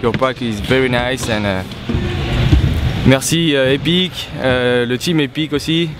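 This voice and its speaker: A 21-year-old man from Paris with a French accent, talking casually to camera in halting, simple phrases. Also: medium pitch. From Paris, France. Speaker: visiting skateboarder. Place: indoor skatepark and its small office. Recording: background music behind the voice.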